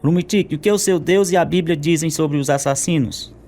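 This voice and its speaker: A man's voice: on the high side, fairly quick, loud music behind the voice, natural voice. An actor playing a sly, persuasive male KGB prison officer in a radio drama, coaxing and probing.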